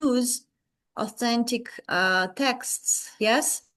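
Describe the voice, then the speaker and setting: Slow, hesitant woman with a bright voice. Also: on the high side. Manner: hesitant, many fillers. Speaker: webinar participant asking a question. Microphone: video call.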